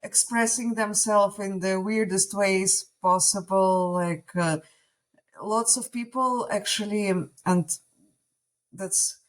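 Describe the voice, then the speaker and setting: Russian woman on a podcast, russian first language, thinks out loud, lots of uh and like. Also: mid-range voice, slow. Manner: reflective, hesitant, many fillers. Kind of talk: casual podcast chat.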